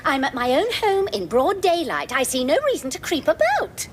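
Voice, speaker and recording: A calm, sensible neighbour. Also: female voice, high-pitched, moderate pace, noisy background. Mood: reasoning.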